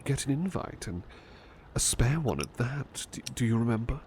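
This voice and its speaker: A man playing a gentle, devoted butler in an intimate ASMR roleplay, soft-spoken and often slipping into whispers, polite and a little hesitant. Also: medium pitch, animated, moderate pace, slight background noise, lightly acted. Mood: polite, chatty. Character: devoted, gentle, flustered male butler attending his beloved.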